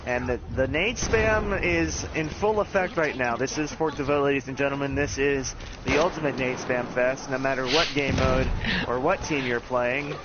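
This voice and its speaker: A man giving play-by-play commentary on an online match, talking fast with the odd chuckle. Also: mid-range voice, muffled recording, noisy background. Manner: rapid, animated play-by-play with chuckles.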